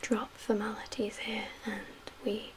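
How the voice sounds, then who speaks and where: Whispering woman in soft ASMR roleplay. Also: medium pitch, flat delivery, measured pace. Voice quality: whispery, breathy. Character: calm, guarded female survivor-camp medical official. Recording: audible background hiss and ambience.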